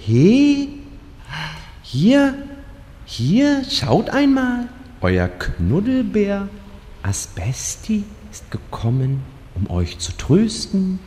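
A woman's voice: medium pitch, huge pitch swings, extremely slow, warm tone, slight background noise, exaggerated voice. A woman playing a cuddly bear companion in a comedic fantasy audio drama, cutesy, chummy and coaxing. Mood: cheerful, comforting. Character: cuddly, consoling fireproof teddy bear companion. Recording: long hall reverb.

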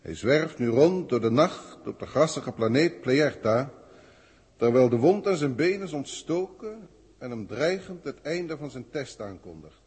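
A pompous senior alien official of an old sci-fi radio play. His voice is very husky and swings widely in pitch, with formal, exasperated delivery. A long hall reverb surrounds it. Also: expressive, a little slow, darkish tone. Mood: formal reporting. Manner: formal, theatrical, verbose. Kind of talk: 1960s Dutch radio drama acting.

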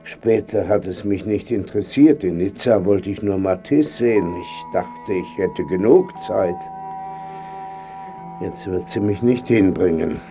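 An actor playing a frail, wry old painter in a radio drama, speaking tersely and reflectively as someone wistful and close to death. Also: male voice, low voice, unhurried, mellow, dull audio, faint music behind. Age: older.

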